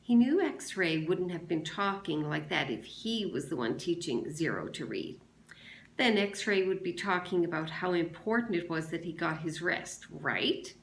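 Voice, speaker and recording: A woman teacher reading a children's novel aloud to a class in a measured, deliberate way. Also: on the low side, expressive. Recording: roomy, echoing sound.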